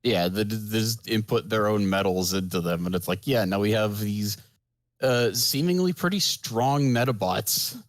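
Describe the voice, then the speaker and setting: A man's voice: on the low side, normal speed, dry recording. A man co-hosting a podcast, chatting casually in an understated, somewhat hesitant way. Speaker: podcast co-host. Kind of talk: casual chat.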